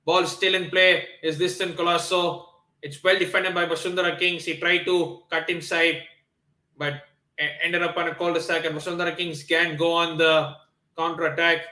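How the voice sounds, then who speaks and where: A man's voice: a little high, moderate pace, reverberant. A man from Nepal hosting a football watchalong stream, commenting on the match and answering viewers with many fillers and restarts. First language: not Hindi. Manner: excitable, lots of fillers and restarts. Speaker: livestream watchalong host.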